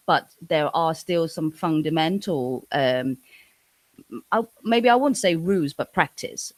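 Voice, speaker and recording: A woman's voice: sits low, no echo. Hesitant Hong Kong woman, dry sound. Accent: Hong Kong English. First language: Cantonese. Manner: hesitant, many restarts and fillers. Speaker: interview guest. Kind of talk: casual interview chat.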